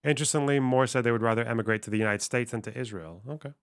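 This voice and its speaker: A man's voice: medium pitch, racing, smooth, no echo, studio quality. A man streaming, reading text aloud and musing on it casually, swearing offhandedly. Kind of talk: casual livestream commentary.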